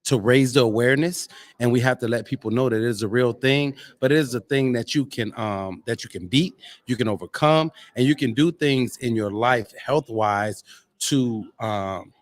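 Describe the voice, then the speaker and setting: A man's voice: medium pitch, moderate pace. An American man chatting casually on a live show as a host, earnest and encouraging in how he talks. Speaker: podcast host and actor.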